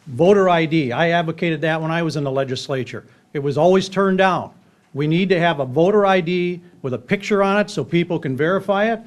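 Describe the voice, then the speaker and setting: A man speaking as a political candidate, plain-spoken and assertive, in campaign-style remarks. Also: on the high side.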